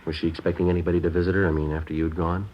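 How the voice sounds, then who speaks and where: A noisy, dull old radio recording of an actor as a deep-voiced American detective. He talks fast in clipped, deadpan, matter-of-fact sentences, calm and terse, like a crime drama cop. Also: lightly acted. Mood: calm, probing. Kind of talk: 1950s radio crime drama narration and dialogue.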